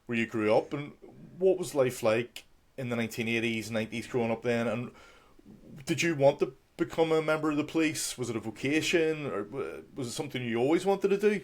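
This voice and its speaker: A man's voice: medium pitch, expressive, brisk. A man with a Belfast accent, co-hosting in a casual, chatty and meandering manner. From North Belfast, Northern Ireland. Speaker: podcast co-host. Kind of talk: casual chat.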